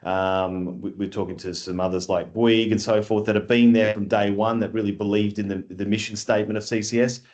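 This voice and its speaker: A man who grew up in Australia, with an Australian accent, talking as an interview guest in an animated, rambling way full of fillers and restarts. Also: fairly low pitch, a little fast, few pauses. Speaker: guest, industry scheme chief executive. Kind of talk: casual conversational interview.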